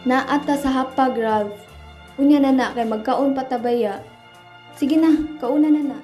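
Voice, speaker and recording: A woman's voice: high voice, measured pace, dark tone, faint music behind. A woman acting as an affectionate but nagging young girlfriend in a radio drama. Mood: coaxing, impatient.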